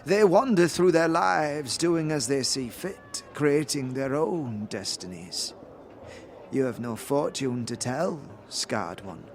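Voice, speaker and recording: Slow, mysterious fortune-telling crone played by a man. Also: medium pitch, animated, a little hiss or hum. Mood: cryptic, solemn. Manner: mysterious, portentous, archaic phrasing. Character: cryptic, hunched female fortune teller in rich fabrics. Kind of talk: audio drama character acting.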